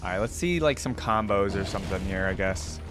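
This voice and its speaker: A man's voice: deep voice, lively intonation, a little fast. A man giving casual, off-the-cuff reaction commentary in short interjections. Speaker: reaction video host. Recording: video music behind the voice.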